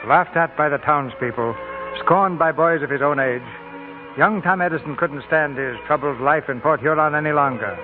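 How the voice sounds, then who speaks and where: A man's voice: on the high side, normal speed, natural voice. A man narrating a 1940s radio drama in a formal, solemn, dramatic manner. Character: solemn story narrator introducing an act. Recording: tinny old radio with music.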